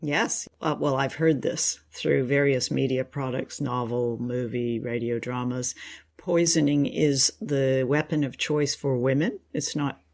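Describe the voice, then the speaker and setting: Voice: medium pitch, fairly even intonation, a little slow, creaky, darkish tone. A co-host of a radio drama review show, chatting casually in an appreciative, effusive way.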